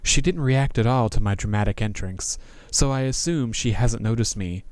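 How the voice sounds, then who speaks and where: Casting: male game streamer, average pitch, informal and joking, reads story text with expression. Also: moderate pace, darkish tone, no room sound. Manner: jokey, informal. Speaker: gaming video host. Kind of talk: casual game commentary and expressive read-aloud narration.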